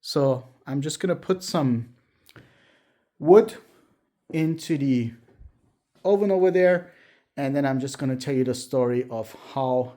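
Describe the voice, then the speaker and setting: A man's voice: mid-range voice, a little slow, long pauses, dark tone. A man in his late forties, a non-native English speaker, telling his personal story in a candid, reflective, somewhat hesitant way. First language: not English. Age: late 40s. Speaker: vlogger. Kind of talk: personal life story told to camera.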